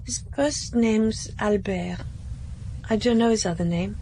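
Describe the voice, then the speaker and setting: An actress playing a tipsy young nightclub dancer who answers in halting, hesitant replies in a radio drama. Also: female voice, mid-range voice, warm tone, a little hiss or hum, lightly acted. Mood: evasive.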